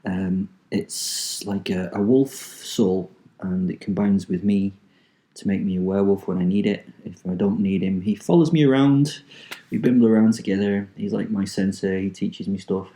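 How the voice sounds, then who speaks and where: Low, dark-voiced Manchester man. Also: moderate pace. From England. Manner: swearing, rambling, frequent ums. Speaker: podcast host. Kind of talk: casual monologue, reading a written story.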